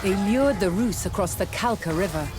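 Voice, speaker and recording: A woman delivering scripted documentary narration in a measured, dramatic storytelling manner. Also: mid-range voice, animated. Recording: music under the voice. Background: background music.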